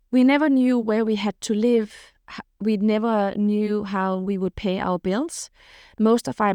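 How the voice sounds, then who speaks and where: A woman's voice: medium pitch, clean tone, dry recording, studio quality. A middle-aged woman from Denmark, talking frankly and openly as a podcast guest about her past. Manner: frank, open, lively storytelling with frequent "you know". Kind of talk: candid interview storytelling.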